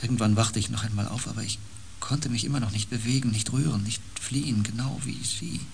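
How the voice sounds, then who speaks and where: An actor playing a haunted, fearful man on a space expedition in a radio play, speaking with brooding, breathy intensity. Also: mid-range voice, a little fast, a little hiss or hum, lightly acted. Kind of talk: German science fiction radio play acting.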